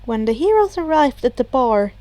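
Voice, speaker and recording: Female audiobook narrator, high voice, scripted story reading, very dry close sound. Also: very animated, moderate pace, warm tone, no echo. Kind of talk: scripted fan fiction narration.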